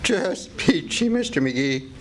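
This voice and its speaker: A man's voice: on the high side, very animated, takes it slowly, crowd noise. A man playing a meek, henpecked little fellow, timid and polite, in 1940s-style radio comedy on stage. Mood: meek, cheerful. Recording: faintly noisy live stage recording.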